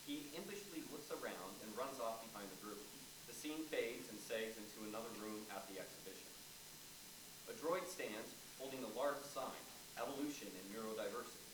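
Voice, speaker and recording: A man's voice: mid-range voice, moderate pace. Distant echoey male narrator reading stage directions. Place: large indoor theatre space, staged reading. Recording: distant echoey room, clearly noisy.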